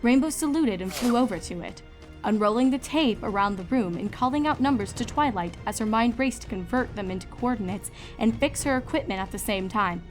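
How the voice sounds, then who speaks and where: A woman narrates a scripted story in a high voice. Music plays softly behind her reading. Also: animated, a little fast. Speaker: fan fiction reader.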